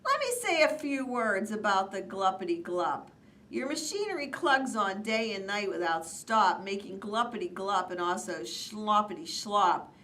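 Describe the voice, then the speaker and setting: A woman's voice: mid-range voice, lively intonation, unhurried, bright, forceful tone, recorded from a distance. A woman voicing a fussy, grumpy male forest guardian in a storybook read-aloud, scolding indignantly between coughs and sniffs.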